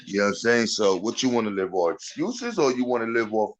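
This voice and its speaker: A man's voice: on the low side, animated, brisk. Blunt, profane man in his forties. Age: 40s. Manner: blunt, profane, fills with "you know what I'm saying". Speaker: podcast host. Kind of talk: casual chat.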